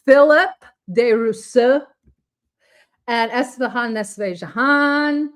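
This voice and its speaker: A woman's voice: on the high side, takes it slowly. A woman co-hosting a casual political talk show, bubbly and enthusiastic, often laughing. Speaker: co-host.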